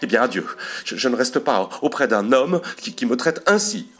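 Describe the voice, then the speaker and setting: A man's voice: on the low side, very animated, moderate pace, fairly bright tone, husky, soft background music, exaggerated voice. A man voicing a flustered, indignant young wife who stammers and exclaims in repeated words. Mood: indignant, outraged.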